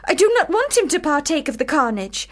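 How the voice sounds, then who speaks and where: High, swooping, prim young girl. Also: very animated, a little fast. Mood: pleading. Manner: prim, formal, wheedling, childlike. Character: young Charlotte Bronte, precocious earnest girl, older sister. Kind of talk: radio drama acting.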